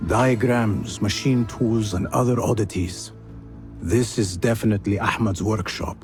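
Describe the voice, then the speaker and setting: An actor playing a purposeful young assassin, wry and observant, in a video game. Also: male voice, lowish voice, fairly even intonation, a little slow, music in the background, natural voice. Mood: pensive, reserved.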